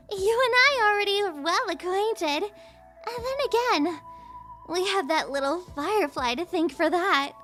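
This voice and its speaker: A woman's voice: extremely high pitch, fairly bright tone, exaggerated voice. A woman playing a playful, taunting young female trickster in an ASMR audio roleplay, with a coy, mock-innocent way of drawing out her words. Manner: teasing, coy, mock-innocent, drawn-out phrasing. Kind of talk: ASMR audio roleplay, scripted character acting.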